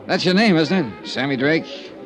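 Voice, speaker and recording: A man acting a tough, persistent insurance investigator in an old-time radio detective drama, clipped and deadpan. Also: fairly high pitch, moderate pace, natural voice. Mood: cool, pressing. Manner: clipped, hard-boiled, deadpan. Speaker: narrator and lead actor. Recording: vintage radio recording with music.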